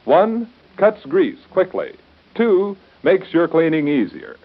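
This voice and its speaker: A male American announcer delivers polished 1930s radio copy like a salesman. His voice sits fairly high and moves up and down a lot. The vintage broadcast sound is muffled and boxy. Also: big pitch swings, slow pace, noisy background.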